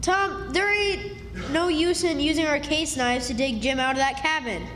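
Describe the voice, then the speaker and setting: A practical, doubting American country boy played by a woman, with an extremely high voice. The dialect is folksy and ungrammatical, acted for a radio play in an echoey hall. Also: moderate pace, a bit bright. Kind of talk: live radio drama acting. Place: historic club hall, live performance. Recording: echoey hall stage, faint music.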